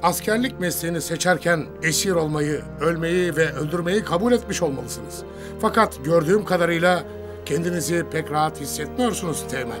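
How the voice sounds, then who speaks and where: A man playing a dignified, humane older army commander in a war cartoon, speaking in a solemn, formal, measured way. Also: fairly high pitch, natural voice. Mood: composed, courteous. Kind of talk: cartoon war drama dubbing. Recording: music playing under the voice. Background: background music.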